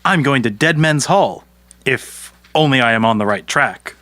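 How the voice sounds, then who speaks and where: An actor playing a poor, canny, good-natured peasant man in an audio folktale, speaking deliberately. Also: medium pitch, expressive, bright, forceful tone, no echo. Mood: earnest, polite. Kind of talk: audio storybook character acting.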